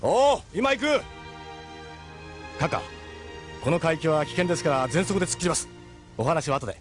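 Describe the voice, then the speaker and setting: A man's voice: on the high side, very animated, moderate pace. A man playing a confident, commanding yet courteous ship's captain in an audio drama. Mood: jovial, commanding. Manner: gruff familiar orders, polite to guests. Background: faint music.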